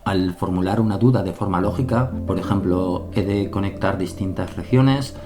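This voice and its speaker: A man with an accent from Spain reads his devlog narration in a low, steady voice. He is earnest and plain-spoken, setting out his points one by one. Clear background music plays beneath him. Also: normal speed. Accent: Spain Spanish. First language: Spanish. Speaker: solo game developer.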